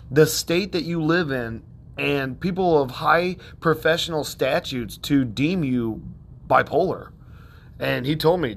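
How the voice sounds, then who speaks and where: A man hosting a self-help podcast, talking in a rambling, conversational way with plenty of fillers and a soothing tone. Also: on the high side. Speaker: podcast host.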